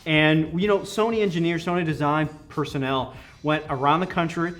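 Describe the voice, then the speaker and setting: A man's voice: on the high side, normal speed, a bit bright. A man working as a company product representative, explaining a product enthusiastically in a conversational interview. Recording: music playing behind the voice.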